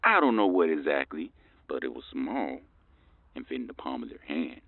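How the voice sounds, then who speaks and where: An actor playing a self-important, nosy small-town informant, conspiratorial and insistent, in a comedy audio drama with a telephone filter. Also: male voice, a bass voice, very animated, normal speed, gravelly, no echo, exaggerated voice. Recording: thin, band-limited phone line.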